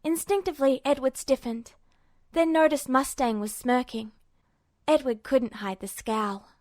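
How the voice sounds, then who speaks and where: A woman's voice: sits high, measured pace, a little mellow, no echo, studio quality. A woman reading scripted fiction aloud as a narrator. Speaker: amateur audiobook narrator.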